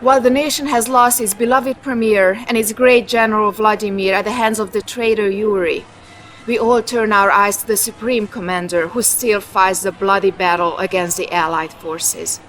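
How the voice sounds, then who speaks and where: An actress playing a formal, reverent state news announcer delivering a declamatory propaganda newscast in a game. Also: female voice, mid-range voice, steady tone, normal speed, fairly bright tone, lightly acted, low-quality recording. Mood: solemn, proud.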